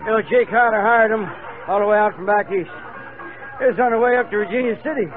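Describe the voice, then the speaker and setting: An actor playing a rowdy, friendly, pushy cowpuncher with a folksy American Western drawl in old radio drama. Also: male voice, high-pitched, fast talker, music in the background, lightly acted. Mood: pushy, jovial. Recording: tinny, noisy old radio with crowd din.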